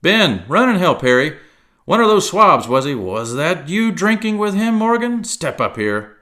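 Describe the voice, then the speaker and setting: Guy doing a blustery old pirate cook, loud and high, swings all over the place, sea slang. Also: very animated, unhurried, full character voice. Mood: blustering. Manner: blustering, salty sea talk. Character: gruff hearty one-legged male pirate cook, cunning.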